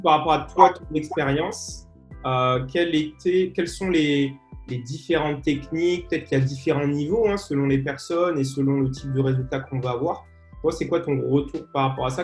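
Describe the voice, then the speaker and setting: Male host, hesitant, lots of fillers, echoey room, faint music. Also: medium pitch. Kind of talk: interview hosting.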